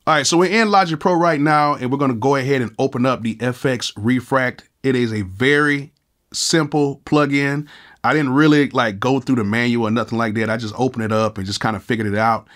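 A man in his thirties with a cold and a nasal voice, casually walking through music software in a laid-back, slangy way from his home studio. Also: medium pitch, a little fast. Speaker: music producer and YouTube host.

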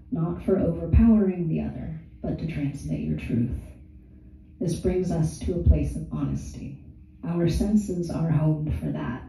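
Stern ungendered alien envoy, resonant and formal; a woman reads it – dark tone, distant mic. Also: low voice, gravelly. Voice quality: resonant, lucid, rolling. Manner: slow measured formal delivery. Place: small art gallery, indoors. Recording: echoey gallery from far off.

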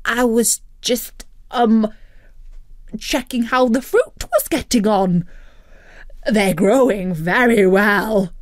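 A woman's voice: slow pace, no echo, studio quality. Woman doing a whiny greedy turkey. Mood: sheepish. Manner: pleading, high and wailing. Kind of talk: children's storybook reading.

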